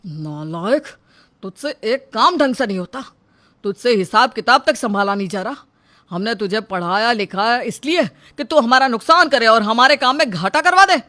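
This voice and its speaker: A performer voicing a tyrannical middle-aged father who is domineering, threatening and quick to shout in a melodramatic story. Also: mid-range voice, expressive. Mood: furious. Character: tyrannical, short-tempered middle-aged father and shop owner. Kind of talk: melodramatic audio story dialogue.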